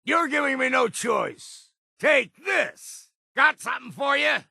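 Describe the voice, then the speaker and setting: Game companion role: weathered older island guide, heavy fry and rasp, blunt folksy drawl, wary and gruff. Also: male voice, extremely high pitch, lively intonation, slow, sharp tone, no echo, clean studio sound, exaggerated voice. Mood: combative shouting. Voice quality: gravelly, raspy. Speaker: companion character in a video game. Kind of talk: video game voice lines.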